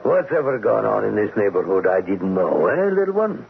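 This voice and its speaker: Older man, fussing kindly uncle, low gravelly voice, radio drama on a tinny, noisy old recording. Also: sing-song, moderate pace. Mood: teasing, concerned. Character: kindly, fussing older uncle who runs a neighborhood cafe. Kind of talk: vintage radio drama acting.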